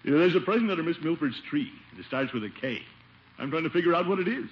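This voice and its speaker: Actor as blustery, swooping pompous bachelor uncle, old radio. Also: male voice, on the high side, expressive, fast talker, close to an everyday voice. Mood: preoccupied. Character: pompous, scheming, middle-aged small-town bachelor uncle. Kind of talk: 1950s radio situation comedy acting. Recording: noisy, muffled 1950 radio broadcast.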